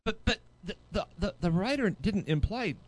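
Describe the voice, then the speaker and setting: A man's voice: high-pitched, animated, moderate pace, darkish tone. A man chatting casually in podcast banter.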